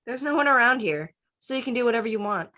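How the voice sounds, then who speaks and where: A woman's voice: fairly high pitch, expressive, fast talker, sharp tone. A woman reading an introspective, dryly witty teenage boy in conversational screenplay table read dialogue. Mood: playful, coaxing.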